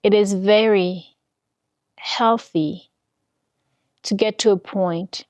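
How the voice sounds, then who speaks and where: A woman guides a meditation at an extremely unhurried pace. She sets down short phrases deliberately and soothingly, leaving pauses between them. Her medium-pitched voice sounds close and dry, with no room echo. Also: steady tone, a little mellow. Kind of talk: guided meditation, spiritual talk.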